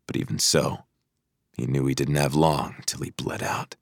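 A man narrates an audiobook thriller in a deep voice. The reading is scripted storytelling, recorded very dry and close. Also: level tone, moderate pace, no room sound.

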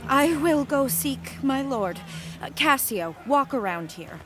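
An actress playing a gentle, anxious noble wife in a Shakespearean audio drama, earnest and gracious. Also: female voice, high voice, expressive, slow pace, background noise. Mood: resolute, gracious.

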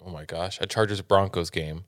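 A young man co-hosting a podcast with his wife, relaxed and teasing in casual chat. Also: sits low, a little fast, dry recording, studio quality. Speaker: podcast co-host.

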